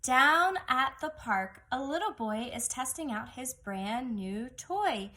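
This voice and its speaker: Bright, high-voiced woman reading to young children. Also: expressive, slow pace. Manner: exaggerated, singsong storytelling with questions to children. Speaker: young children's teacher reading a picture book aloud. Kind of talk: read-aloud lesson for young children.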